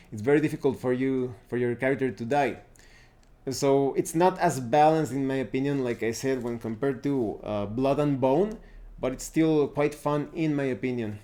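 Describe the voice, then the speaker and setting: Mellow-voiced man reviewing tabletop games. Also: mid-range voice. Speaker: tabletop roleplaying game reviewer. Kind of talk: casual unscripted review talk to camera.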